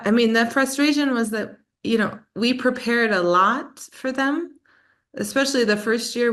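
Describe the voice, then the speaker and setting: A woman's voice: mid-range voice, fairly bright tone. A woman presenting online, answering a conference question in an explanatory way, often restarting her sentences. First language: English.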